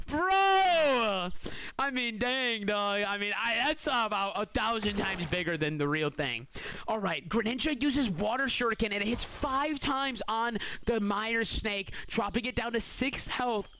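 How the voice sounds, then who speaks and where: Hoarse, excitable high-voiced male streamer. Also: a little hiss or hum. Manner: excitable hype commentary with slang. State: hoarse, voice feels weak. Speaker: streamer and tournament host. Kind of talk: live tournament commentary on a game stream.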